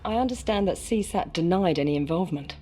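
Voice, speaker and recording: Woman voicing probing interviewer, businesslike, lowish voice, game cutscene, soft music behind. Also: lively intonation, fast, lightly acted. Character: probing female journalist interviewer.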